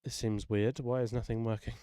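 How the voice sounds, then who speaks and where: A man thinking aloud in a casual, self-deprecating, sweary way as he works through a music software session. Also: medium pitch, little pitch movement, brisk, clean tone, no room sound, studio quality.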